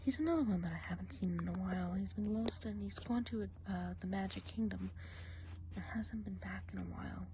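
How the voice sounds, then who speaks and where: A woman voicing a gossipy woodland witch who gives a soft, half-whispered ASMR eye exam. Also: medium pitch, brisk, noisy background, lightly acted. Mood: gentle. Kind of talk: ASMR role play.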